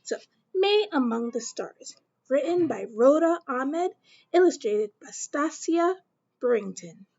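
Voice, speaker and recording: A woman teacher reading a picture book aloud to children, gentle and slow, with questions to her listeners. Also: high voice, lively intonation, lo-fi sound.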